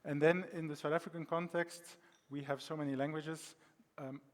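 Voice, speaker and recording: A man's voice: on the high side, fairly even intonation, moderate pace. A middle-aged man from Belgium with a Belgian accent, giving a conference presentation with frequent ums and restarts. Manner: hesitant, many ums and restarts. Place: conference hall. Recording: long hall reverb.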